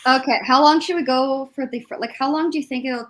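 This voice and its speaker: A woman with a fairly high voice hosts a casual livestream. She talks informally and hesitantly, working things out aloud as she goes. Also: fast talker. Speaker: livestream host.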